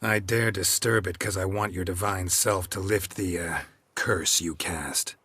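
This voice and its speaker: An actor playing a gruff, dry-witted monster hunter in a video game, with a husky, deadpan, laconic delivery. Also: male voice, low-pitched, a little slow, exaggerated voice. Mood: dry, unimpressed. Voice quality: husky, raspy. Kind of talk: video game character acting.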